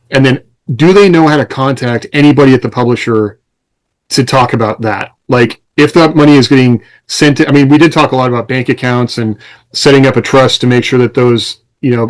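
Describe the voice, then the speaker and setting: Guy on a podcast chatting casually, explaining stuff, mid pitch. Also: steady tone, fairly quick, poor audio. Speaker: podcast co-host. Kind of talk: casual chat.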